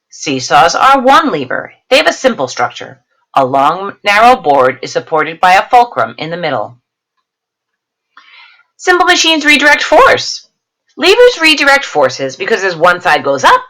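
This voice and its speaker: A woman teacher reading a science lesson aloud, adding explanatory asides and stressing key terms in a deliberate, instructive way. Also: medium pitch, fairly bright tone.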